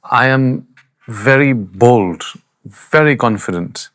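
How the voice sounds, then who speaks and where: A male choir singer talks in a podcast studio about his craft, bold and candid, sometimes poking fun at himself. His voice is a middle pitch, and he speaks in plain conversational sentences. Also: slow pace, fairly bright tone. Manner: bold, candid, self-deprecating. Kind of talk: conversational explanation.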